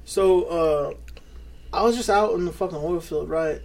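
A man's voice: fairly high pitch, a little slow, vocal fry, a little mellow. Laid-back cursing man who says "bro" a lot. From New Mexico. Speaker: podcast co-host. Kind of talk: casual chat.

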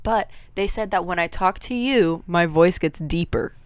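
A young woman co-hosting a casual chat podcast, expressive and quick to laugh, sometimes dropping into a deeper voice. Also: clear, resonant tone, dry recording. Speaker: podcast co-host. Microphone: headphones and mic.

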